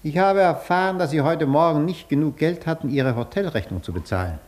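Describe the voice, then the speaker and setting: A man acting a suave, sardonic gentleman interrogator in a German radio drama, polite on the surface while probing and mocking. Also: on the high side, expressive, a little fast, lightly acted. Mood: pressing.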